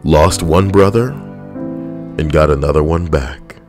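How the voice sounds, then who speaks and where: A young man with a very low voice recounts a personal story in a blunt, conversational way, swearing freely. Soft background music plays faintly under his voice. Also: a little slow. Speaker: veteran storyteller.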